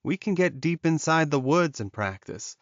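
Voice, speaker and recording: A man's voice: fairly high pitch, expressive, normal speed, no echo, muffled recording, pitched above the performer's own voice. A man voicing a lovelorn male werewolf in an audiobook, earnest and urging as he encourages his beloved. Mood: reassuring. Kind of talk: audiobook character dialogue.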